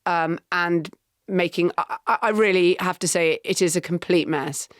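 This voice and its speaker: A middle-aged woman with an English accent, co-hosting a podcast, speaking in an animated, wry way with halting restarts. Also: lowish voice, no echo, studio quality. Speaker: podcast co-host. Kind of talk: casual chat.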